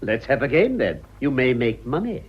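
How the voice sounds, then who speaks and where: An actor playing a suave, ironic older gentleman with an upper-class English accent, teasing with mock politeness in a radio play. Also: medium pitch, big pitch swings, moderate pace, slightly rough. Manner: urbane, mock-polite, dry. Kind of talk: stage comedy radio acting. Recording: dull, muffled old radio drama.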